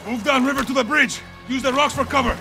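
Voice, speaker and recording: An actor playing an urgent, commanding special forces soldier in a military shooter game, barking clipped orders. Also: male voice, very high voice, fast talker, gravelly. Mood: urgent shouting. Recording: loud action music and gunfire behind.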